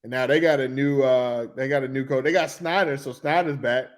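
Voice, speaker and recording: A man, talking loosely and excitedly about basketball as a podcast co-host in casual chat. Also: mid-range voice, a little fast. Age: 40s.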